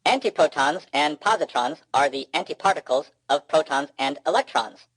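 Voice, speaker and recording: A man's voice: a little high, expressive, normal speed, fairly bright tone, husky, no room sound, voice pushed up, low-quality recording. Man putting on a pedantic, stiff-voiced robot. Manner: pedantic, clipped recitation. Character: precise, literal-minded, exasperated know-it-all robot.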